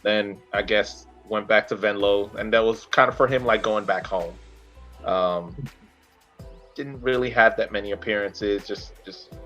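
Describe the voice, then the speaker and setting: A man in his thirties talking casually about sport as a podcast host, halting and searching for words as he recounts details. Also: fairly low pitch, steady tone, a bit bright, soft background music. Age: 30s. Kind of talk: casual sports talk, recounting a player's career.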